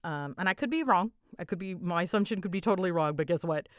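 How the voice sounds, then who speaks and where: Low-pitched young woman, casual and chatty. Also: fast talker, no echo, clean studio sound. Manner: chatty, animated retelling with quoted asides and giggles. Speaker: audio roleplay creator answering fan questions. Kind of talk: casual Q&A chat.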